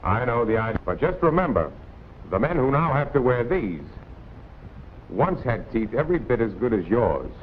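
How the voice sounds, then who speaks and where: American man, 1940s instructional narrator, lively intonation, colloquial and admonishing, tinny old film sound with faint noise. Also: fairly low pitch. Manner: plain-spoken, colloquial, admonishing.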